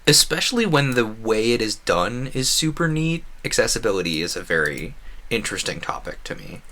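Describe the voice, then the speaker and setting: A man streaming a video game talks casually and at length, drifting between tangents and opinions. His voice is bright and forward, with a lively, swooping pitch that keeps his chatty commentary animated.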